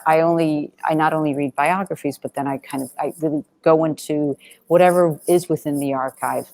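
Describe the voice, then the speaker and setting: A woman with a deep voice and plenty of vocal fry gives interview answers as a filmmaker. She sounds earnest and conversational, halting and rephrasing as she goes. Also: darkish tone. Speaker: documentary film director being interviewed.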